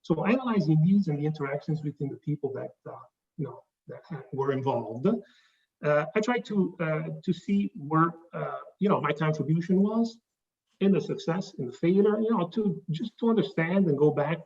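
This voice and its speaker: A man with an Italian accent giving a pitch presentation, talking haltingly with many fillers and restarts. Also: expressive, darkish tone, far from the mic, lo-fi sound. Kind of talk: pitch presentation to a live audience.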